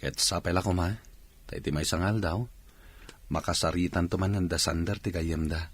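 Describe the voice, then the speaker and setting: Low, husky polite young bachelor played by a man. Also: fairly even intonation, moderate pace. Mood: respectful. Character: polite, modest young bachelor hosting friends. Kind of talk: radio drama acting in Ilocano.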